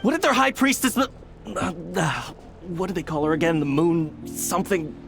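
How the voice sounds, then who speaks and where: A man's voice: a little high, lively intonation, moderate pace, gravelly, music in the background. A man playing a clueless, hesitant townsman in video game dialogue. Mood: confused.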